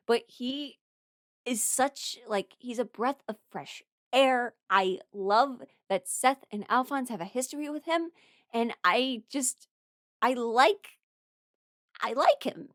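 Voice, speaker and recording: A woman's voice: on the high side, fairly bright tone, no room sound, studio quality. A woman rambling casually as a fan, gushing and emphatic, with dramatic exclamations and casual swearing. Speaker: voice content creator ranking characters.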